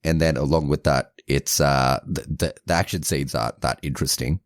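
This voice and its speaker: Male podcast co-host, very low voice, dry close sound, casual and rambling film chat. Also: fairly even intonation, moderate pace, no room sound. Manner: informal, rambling, frequent "like". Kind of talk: casual movie review chat.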